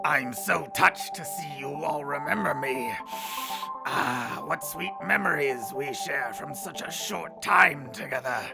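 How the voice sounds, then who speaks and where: An actor playing a smug, gloating captured enemy officer with a pompous, mocking delivery in an audio drama. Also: male voice, fairly high pitch, unhurried, creaky, loud music behind the voice.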